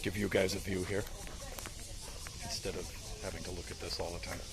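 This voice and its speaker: A man's voice: low-pitched, level tone, a little fast, a crowd in the background. A man with an American accent chatting casually and ramblingly to viewers on a livestream as he walks outdoors with a phone at arm's length. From United States. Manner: rambling, talks directly to viewers. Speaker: live streamer. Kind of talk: casual walking livestream chat. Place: outdoors, walking along streets to a park. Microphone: phone held at arm's length. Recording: noisy outdoor phone livestream, insect-like ambience.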